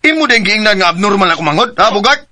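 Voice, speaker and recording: An actor playing a protective older brother, firm, insistent and admonishing, in a radio drama. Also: male voice, high-pitched, animated, fast, crowd noise. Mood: stern, scolding.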